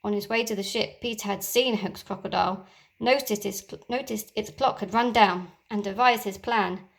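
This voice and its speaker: Expressive woman reading a children's story. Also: mid-range voice, normal speed, gravelly. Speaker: teacher reading aloud. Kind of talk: storybook read-aloud for children.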